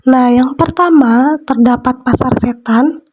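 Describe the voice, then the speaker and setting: Soft nasal woman with a cold. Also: high voice, noisy background. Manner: slow, soft, frequent "nah" fillers while eating. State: stuffy nose from a cold. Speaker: mukbang vlogger. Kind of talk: casual mukbang chat and reading a horror story aloud. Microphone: close mic for eating sounds.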